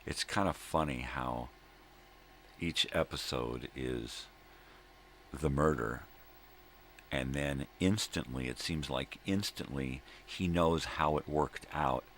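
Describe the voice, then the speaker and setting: A middle-aged man with a gravelly voice hosting a casual solo vlog, halting and repeating himself as he grumbles his opinions. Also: a bass voice. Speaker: host of a daily morning video log. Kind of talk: rambling casual solo chat. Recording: noticeable background noise.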